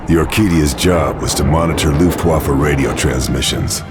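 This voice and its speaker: A man's extremely low voice, a stoic World War II special operations sniper in a video game. He is grave and terse, wasting no words, with music playing clearly behind him. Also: normal speed. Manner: terse, grave, clipped to-the-point delivery. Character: grim, stoic male SOE sniper officer in World War II. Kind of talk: scripted video game narration and dialogue.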